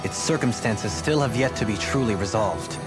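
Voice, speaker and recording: A man's voice: on the low side, moderate pace, over loud music, natural voice. A man playing an earnest, composed adventurer in an English-dubbed fantasy video game. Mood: serious, explaining.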